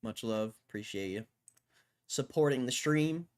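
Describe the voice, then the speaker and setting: A man running a casual stock market live stream, losing his voice, clearing his throat often and swearing offhandedly as he reads and reacts. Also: mid-range voice, expressive, unhurried. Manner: laid-back, swears casually, mutters while reading.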